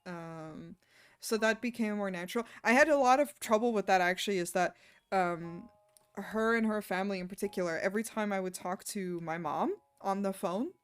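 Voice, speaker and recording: A woman's voice: mid-range voice, normal speed, smooth, faint music behind. A woman, chatting casually on a livestream while she works, laughing often and swearing offhand. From Netherlands. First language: Dutch. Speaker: streamer.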